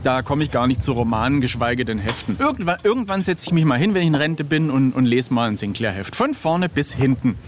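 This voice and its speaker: A man's voice: mid-range voice, sing-song, a little fast, few pauses. A middle-aged man chatting casually as an interview guest, telling anecdotes in a jokey, self-deprecating way.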